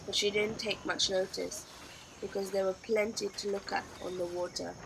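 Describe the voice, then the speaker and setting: A woman's voice: medium pitch, level tone, normal speed, gravelly, noisy background. A woman narrating a children's novel expressively, as a storybook reader.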